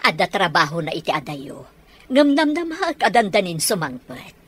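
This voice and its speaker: A woman's voice: lowish voice, sing-song, moderate pace, a bit bright, slightly rough, full character voice. A woman with an Ilocano accent acting a wistful, prayerful mother in a radio drama. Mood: wistful, hopeful. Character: wistful, prayerful mother awaiting her absent child.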